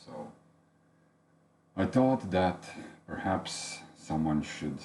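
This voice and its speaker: A man's voice: a bass voice, a little mellow, slight background noise. A man whose first language is Latvian gives a thoughtful, unedited spoken book review, searching for words as he goes.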